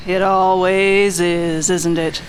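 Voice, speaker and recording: A woman's voice: fairly low pitch, little pitch movement, slow, rough recording. A woman playing a world-weary, wry 1940s private detective, narrating in a folksy, slangy noir radio style. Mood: wry, jaded. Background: music.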